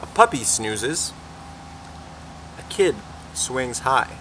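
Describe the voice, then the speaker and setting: A young man reading a children's picture book aloud as a teacher, expressively and deliberately, pausing between phrases, recorded outdoors a little way from the camera. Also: mid-range voice, low-quality recording. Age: young adult. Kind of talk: children's story read-aloud. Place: outdoors in a fenced backyard garden. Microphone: camera a few feet away. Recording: faint steady outdoor background noise.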